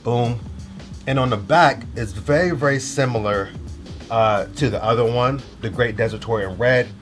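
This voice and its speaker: A man's voice: medium pitch, moderate pace, over music. A young man with a cold and a stuffy, nasal voice, talking casually and loosely through a clothing haul with lots of slang and fillers. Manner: laid-back, slangy, rambling with fillers. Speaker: fashion vlogger.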